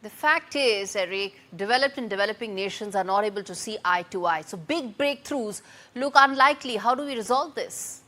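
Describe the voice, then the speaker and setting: A woman anchoring a TV news segment, briskly framing a story and questioning a guest. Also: mid-range voice, bright, forceful tone. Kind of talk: news interview hosting.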